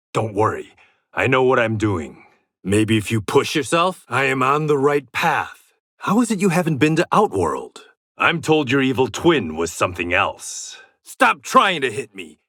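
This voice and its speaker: A man's voice: medium pitch, sharp tone, no room sound, clean studio sound, full character voice. An actor playing a proud warrior and former gangster in a video game, confident and terse, with a sardonic edge to his short fight taunts. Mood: cool, confident, then forceful.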